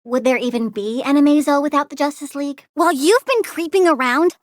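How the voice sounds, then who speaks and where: Woman as a very high-pitched bratty little villain girl. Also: fairly quick, dry recording, studio quality, close to an everyday voice. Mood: snarky, proud. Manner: childish, bratty, cutesy delivery. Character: young girl plant villain, playful, snarky, gleefully menacing child. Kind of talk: video game character voice lines.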